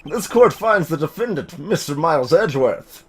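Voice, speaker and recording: A performer voicing a solemn elderly male judge in a courtroom game, with formal, measured pronouncements. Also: mid-range voice, animated, slight background noise, natural voice.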